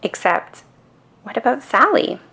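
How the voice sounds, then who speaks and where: A woman narrating a children's bedtime story in a calm, expressive storytelling manner. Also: fairly high pitch, a little slow, creaky, breathy edge. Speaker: storybook reader.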